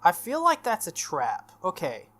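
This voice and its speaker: A man reading visual novel text aloud and commenting as he plays, with a halting, think-aloud delivery. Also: sits high, huge pitch swings, fairly bright tone. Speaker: playthrough host. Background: game music.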